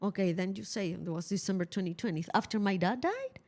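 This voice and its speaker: A woman's voice: medium pitch, expressive, a little fast, a little mellow. Blunt middle-aged woman chatting. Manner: blunt, opinionated. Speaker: podcast co-host. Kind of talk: casual conversational storytelling.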